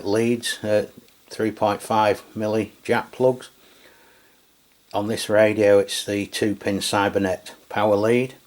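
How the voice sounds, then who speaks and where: Low-voiced English man reviewing gear. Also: darkish tone. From England. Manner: informal, colloquial, explanatory. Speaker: radio reviewer and channel host. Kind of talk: casual hands-on product review.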